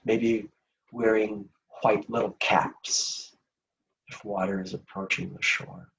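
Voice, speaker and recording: A man leading a guided meditation in a gentle, soothing, unhurried manner with long pauses between phrases. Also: fairly low pitch, fairly even intonation, vocal fry, far from the mic, muffled recording.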